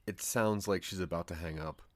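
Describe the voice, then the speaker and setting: Male narrator, fairly deep; suspenseful, measured storytelling for audio drama. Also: no room sound.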